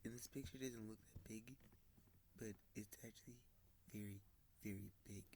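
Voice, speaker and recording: A man with a stuffy, sniffly voice talks slowly and haltingly in a casual vlog, often dropping to a soft, whispery tone. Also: on the low side, fairly even intonation, slight background noise. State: congested, sniffling. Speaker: model train channel host. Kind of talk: casual screen-recorded vlog.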